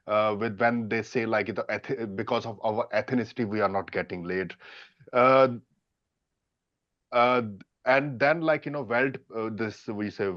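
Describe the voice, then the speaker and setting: A man speaking earnestly on a panel about dating, halting often with fillers and restarts. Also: mid-range voice, dull audio. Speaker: dating coach. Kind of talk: casual panel discussion.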